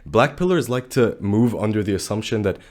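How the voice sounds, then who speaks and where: Young male commentator, sick and low-pitched, blunt and slangy, talking fast to camera. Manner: blunt, animated, slangy, says bro a lot. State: sick, voice deeper than usual. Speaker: dating commentary youtuber.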